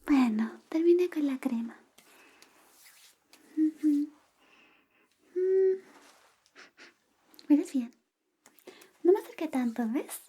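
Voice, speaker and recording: A woman playing an affectionate, teasing young best friend secretly in love, in a soft, breathy, whispered ASMR roleplay. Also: high voice. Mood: tender, playful. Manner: slow, coaxing, intimate, playfully teasing. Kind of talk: ASMR personal-attention roleplay.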